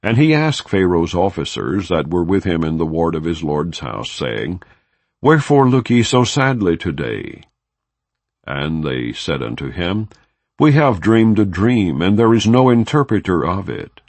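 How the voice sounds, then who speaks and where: A man reads scripture in a very deep voice, slow and formal. His pitch swings widely, lifting and dropping from phrase to phrase. The sound is close and dry. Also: big pitch swings, a little mellow, dry recording. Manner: solemn, measured, formal delivery. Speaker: scripture reader. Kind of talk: unhurried scripted scripture reading.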